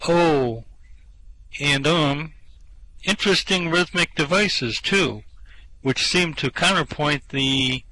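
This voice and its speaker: Bright, hesitant, nervous Earthman played by a man. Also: fairly high pitch, takes it slowly, long pauses, rough recording. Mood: nervous, ingratiating. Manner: hesitant, stammering, earnest. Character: nervous, floundering Earthman flattering his captor. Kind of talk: amateur radio play acting.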